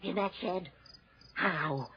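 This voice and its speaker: A woman's voice: fairly low pitch, slow. An actress playing an alarmed, nosy female neighbour, flustered and insistent, in a radio horror drama. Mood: shocked, incredulous. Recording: dull lo-fi old radio recording.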